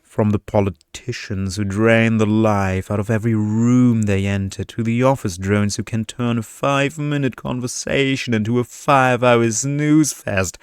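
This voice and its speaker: Male narrator as defiant, witty young woman in romantic tale; smooth, unhurried, mocking delivery. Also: fairly low pitch, no echo, studio quality, natural voice. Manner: mocking, confident.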